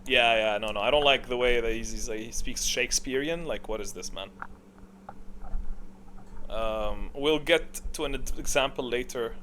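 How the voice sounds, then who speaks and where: Guy with a mid-pitched voice just rambling opinions, thinking out loud, bit of noise behind him. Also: moderate pace. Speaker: video host. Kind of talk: casual unscripted ranking commentary.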